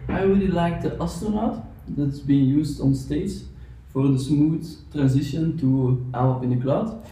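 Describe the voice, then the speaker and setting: A man's voice: fairly high pitch, measured pace, dark tone, slight background noise. A man speaking as a guest on a casual podcast, matter-of-fact and precise. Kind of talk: casual group podcast chat. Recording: echoey room, far from mic.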